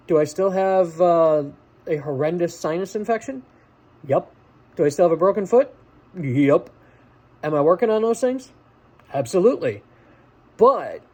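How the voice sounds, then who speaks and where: A man with a voice pitched a little higher than average hosts a personal vlog. He chats casually and directly to the listener, treating them as a friend. His manner is encouraging and conversational, in the style of an informal motivational talk. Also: a little mellow. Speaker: vlog host.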